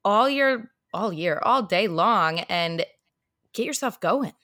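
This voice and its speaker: A woman in her thirties co-hosting a podcast in casual chat, a little hoarse, laughing often as she talks. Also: mid-range voice, takes it slowly, no echo, studio quality. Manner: laughs often, animated and chatty. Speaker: podcast co-host.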